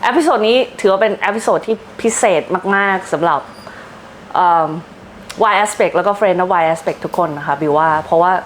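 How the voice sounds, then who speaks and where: A woman's voice: lowish voice, rapid-fire, slight background noise, rough recording. A woman with a Thai accent hosting a casual interview in a polite, effusive way. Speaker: host.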